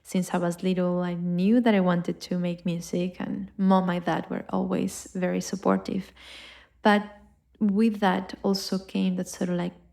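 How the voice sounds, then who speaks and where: A woman's voice: lowish voice, gravelly. A young adult woman from Colombia with a Colombian Spanish accent, hosting a podcast in a reflective, upbeat personal monologue. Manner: warm, reflective, upbeat with filler words. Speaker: podcast host.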